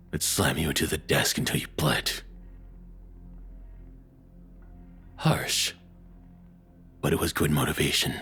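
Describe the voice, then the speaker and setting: A man playing a cruel, controlling ex-boyfriend in an intimate audio roleplay, taunting and belittling slowly in a breathy, husky voice that drops toward whispers. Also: extremely low pitch, fairly bright tone, full character voice. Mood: menacing, degrading. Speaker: voice actor.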